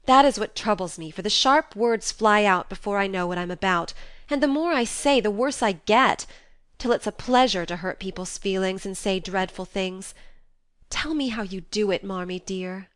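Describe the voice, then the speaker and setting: A woman's voice: medium pitch, normal speed, fairly bright tone, clean tone, no echo, natural voice. A woman voicing an earnest, impulsive fifteen-year-old girl in a classic novel, with an emotional, pleading manner. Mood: earnest, curious. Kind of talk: acted audiobook dialogue from a classic novel.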